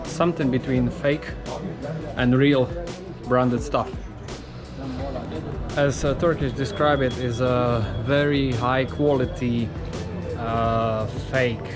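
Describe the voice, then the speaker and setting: A man's voice: mid-range voice, steady tone, slow. A man in his thirties chatting casually to camera as a travel vlogger, on a camera held at arm's length. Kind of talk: casual vlog talk to camera. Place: outdoor city streets and busy covered bazaar. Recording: music under the voice.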